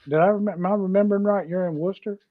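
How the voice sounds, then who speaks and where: High-voiced Southern US man, dull dry audio. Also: fairly even intonation, rapid-fire, dry recording. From Georgia, United States. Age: middle-aged or older. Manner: folksy, repeats himself. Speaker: live show co-host. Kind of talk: casual livestream chat greeting viewers.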